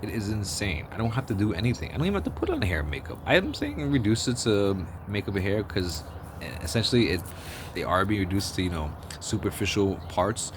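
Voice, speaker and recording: Deep-voiced man ranting outdoors. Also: very animated, fairly quick. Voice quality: deep bass voice. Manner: rambling, blunt and profane, with many restarts. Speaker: vlogger. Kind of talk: casual opinion monologue. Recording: outdoor street noise with passing vehicles.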